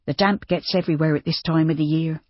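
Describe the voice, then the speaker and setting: Kindly bustling farm wife, reader doing the voice, bit higher, husky and dull. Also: steady tone, fast talker, no room sound, lightly acted. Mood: motherly, brisk. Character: bustling kindly farmer's wife, motherly and brisk.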